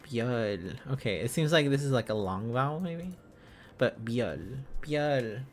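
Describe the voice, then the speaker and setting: A man chatting casually as a livestream host, musing aloud in halting, repeated phrases. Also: mid-range voice, animated, soft background music. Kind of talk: casual livestream chat while learning a language.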